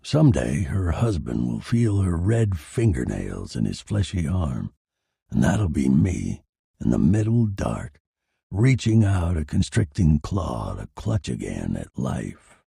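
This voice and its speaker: A man's voice: rumbling low, huge pitch swings, slow pace, creaky, warm tone, slightly rough, no echo, clean studio sound, pitched below the performer's own voice, full character voice. A man voicing a bitter, sardonic dead husband who narrates his own noir horror story in a theatrical way. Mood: macabre, menacing. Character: dead murdered husband, bitter, wry, macabre narrating corpse.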